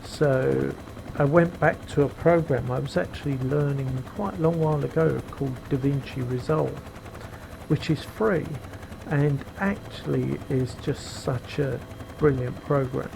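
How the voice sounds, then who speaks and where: Guy with a super deep gravelly voice on a cheap headset, helicopter engine roaring behind. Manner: unhurried, explains as he flies, frequent fillers. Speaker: flight sim video maker. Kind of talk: casual flight sim commentary. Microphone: cheap gaming headset microphone. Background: helicopter sim engine and rotor noise.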